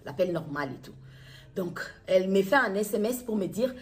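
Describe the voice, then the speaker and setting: A middle-aged woman with a Congolese accent from Kinshasa gives an unscripted personal account as a mother, speaking haltingly and repeating and restating her phrases. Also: mid-range voice, animated, a little mellow. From Democratic Republic of the Congo. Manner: halting, repetitive, circles back to restate phrases. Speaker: mother making a public appeal video. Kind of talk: personal testimony to camera, unscripted recounting.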